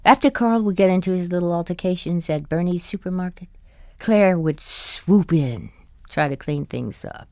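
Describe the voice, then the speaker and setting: A woman's voice: fairly low pitch, moderate pace, mellow, no echo, clean studio sound, natural voice. An actress playing a wry, indulgent older wife who tells her story confidingly in an audio drama. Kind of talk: audio drama acting with first-person narration.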